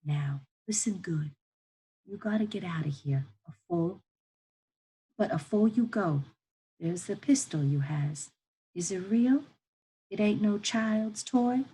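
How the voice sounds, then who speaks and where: A woman's voice: mid-range voice, animated, slow pace, long pauses, warm tone, husky, exaggerated voice, poor audio. A woman voicing a weary, motherly enslaved woman in a storybook, firm and admonishing yet tender, in old-time rural Southern dialect. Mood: tender, urgent.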